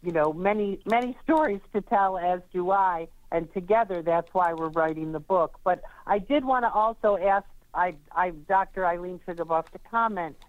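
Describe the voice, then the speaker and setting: A woman attorney joining a radio interview over a tinny, phone-like line with a dry sound. Her voice sits fairly low, and she speaks in a halting way, full of fillers and restarts. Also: dry recording. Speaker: attorney, guest.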